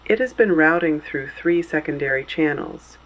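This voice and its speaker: A performer playing a solemn, resolute resistance radio host in a scripted dystopian drama, speaking gravely and deliberately in short phrases. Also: mid-range voice, expressive, fairly bright tone, highs cut off, close to an everyday voice. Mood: grave, urgent.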